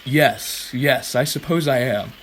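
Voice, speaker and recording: A man's voice: mid-range voice, slow pace, close to an everyday voice. Man acting a suave French romeo in his fifties. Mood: wistful. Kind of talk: radio play acting. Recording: faint background noise and ambience.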